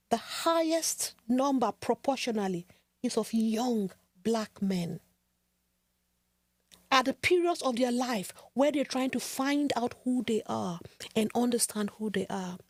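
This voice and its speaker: Slow, earnest woman podcast host. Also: high voice, darkish tone, no echo. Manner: earnest, measured, rhetorical. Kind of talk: reflective podcast monologue.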